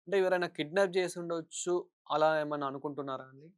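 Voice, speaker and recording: A man's voice: on the high side, fast, smooth, no echo, studio quality. A man working as a news interviewer, putting long, wordy questions in a phone interview.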